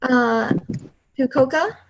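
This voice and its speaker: A woman's voice: sits high, slow pace, rough recording. A woman hosting a video call, calling on participants one by one in a breezy way. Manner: breezy, prompting speakers in turn. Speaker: call host.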